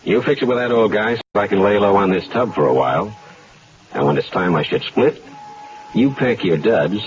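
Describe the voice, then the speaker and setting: A man's voice: lowish voice, lively intonation, normal speed, natural voice. Man acting a cocky, slangy radio hoodlum. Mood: cocky, seductive. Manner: slangy, insolent tough talk. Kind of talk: 1970s radio drama acting. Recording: dull lo-fi vintage radio recording.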